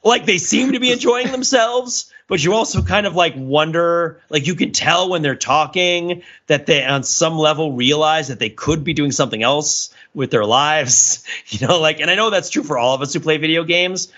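A man hosting a podcast in casual chat, rambling through explanations in an animated, chuckling way. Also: a little high, moderate pace, few pauses. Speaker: podcast host. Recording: dull, lo-fi audio.

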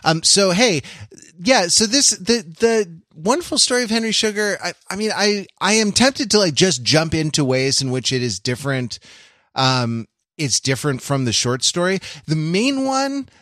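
A man hosting a casual podcast discussion, talking in a rambling, halting way and often repeating words mid-sentence. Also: high-pitched, sing-song, a bit bright, no echo, studio quality. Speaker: podcast host.

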